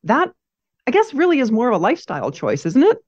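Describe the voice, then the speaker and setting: A woman's voice: a little high, wildly swooping pitch, fast, husky, dull audio. A woman hosting a podcast, reading an upbeat scripted introduction and then asking interview questions. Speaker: podcast host.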